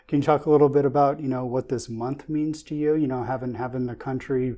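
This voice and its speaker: A man's voice: medium pitch, fairly even intonation, a little fast, dark tone, muffled recording. A man from the Pacific Northwest hosting a union podcast interview, upbeat and formal in his intros.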